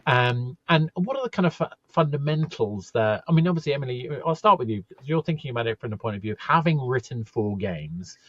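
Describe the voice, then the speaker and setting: A man's voice: mid-range voice, lively intonation, brisk, hardly any pauses, a bit bright, no echo, muffled recording. A man hosting a casual podcast interview, talking in a rambling, hesitant way with many fillers. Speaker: podcast host.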